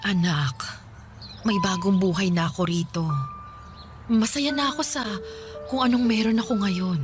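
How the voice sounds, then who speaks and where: A woman's voice: medium pitch, unhurried, close to an everyday voice. A woman playing a middle-aged mother, apologetic but firm, in a radio drama. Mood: guarded, apologetic. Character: mother who left her family, middle-aged, guarded. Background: music.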